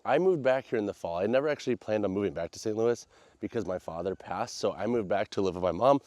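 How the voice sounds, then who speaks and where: Male American vlogger, lowish congested nasal voice, loose slangy chat, dry close sound. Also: animated, fast, darkish tone, dry recording. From United States. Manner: informal, slangy, rambling. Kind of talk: casual vlog chat.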